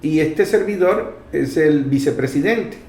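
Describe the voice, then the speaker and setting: A man's voice: fairly high pitch, lively intonation, unhurried, a little mellow, slight background noise. A man with a Venezuelan accent hosting a public affairs talk, addressing viewers in an emphatic, explanatory, oratorical way. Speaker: programme host and foundation vice president. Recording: roomy, echoing sound.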